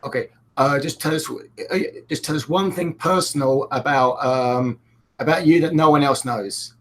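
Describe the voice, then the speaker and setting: A man hosting an online tech meetup, talking casually with a croaky voice and a joking manner. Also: mid-range voice, normal speed. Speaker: host.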